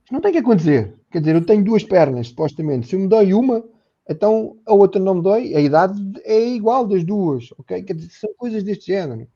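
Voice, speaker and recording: A man's voice: high-pitched, sing-song, fast, warm tone, husky, dull audio. A man with a European Portuguese accent, a therapist guest on a podcast, explaining earnestly and repeating words as he thinks. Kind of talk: conversational podcast interview.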